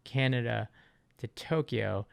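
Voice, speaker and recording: A man's voice: medium pitch, slow pace, vocal fry, smooth, dry recording. A man from Japan talking casually to viewers as a vlogger, in a conversational, wordy way that often rephrases itself. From Japan, Okinawa. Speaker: vlogger, channel host.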